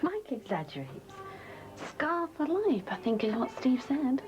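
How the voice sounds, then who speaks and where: An actress with a British accent playing a composed female radio station manager who speaks calmly with dry amusement. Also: high-pitched, a little slow. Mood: wry. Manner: calm, soothing, dryly amused. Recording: dull TV audio with music behind.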